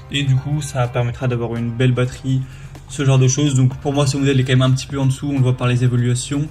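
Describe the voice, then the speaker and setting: A man's voice: mid-range voice, warm tone. Man presenting over music. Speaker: product review presenter. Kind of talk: casual narrated product review.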